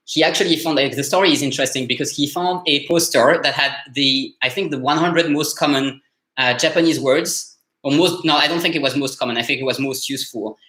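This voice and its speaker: A man's voice: medium pitch, pushed and bright. A man with a French accent, coaching learners in a casual live session, talking loosely with many fillers and restarts. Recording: roomy echo.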